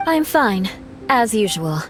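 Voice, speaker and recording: A composed young heroine in a video game. Her mid-range voice is measured and practical, with music behind it. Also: mid-range voice, big pitch swings. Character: composed, practical, level-headed young female adventurer.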